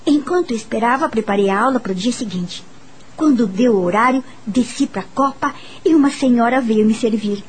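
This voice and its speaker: An actress playing a kind, curious young female teacher in a Brazilian radio drama, expressive and musing, with a Brazilian accent. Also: on the high side, fairly quick, slight background noise, lightly acted. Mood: friendly, calm. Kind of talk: radio drama acting and first-person narration. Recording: music bed behind the voice. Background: music.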